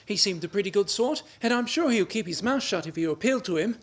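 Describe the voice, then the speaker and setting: An actor playing an earnest, reassuring young husband, in 1930s radio-play style. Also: male voice, high-pitched, lively intonation, a little fast.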